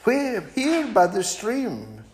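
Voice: mid-range voice, wildly swooping pitch, very slow, a little mellow, voice pushed up, exaggerated voice. A storyteller voicing a small, clever mouse in a children's story who talks politely and boastfully.

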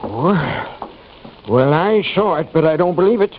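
An actor playing a jittery, chattering old saloon owner with an American accent, stammering fearfully in an old radio western. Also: male voice, on the high side, expressive, unhurried. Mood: frightened. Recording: muffled, faintly noisy old radio recording.

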